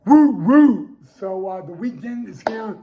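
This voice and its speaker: A man's voice: high voice, lively intonation, unhurried, warm tone. A young man hosting a casual sports talk show, losing his voice and restarting often with stammered repeats. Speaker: podcast host.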